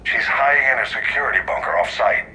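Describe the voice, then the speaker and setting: A man's voice: mid-range voice, expressive, fast talker, bright, forceful tone, a little hiss or hum, rough recording. A man playing a stern, grave admiral in a video game, giving clipped, authoritative briefings. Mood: grave, urgent. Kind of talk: dramatic video game acting.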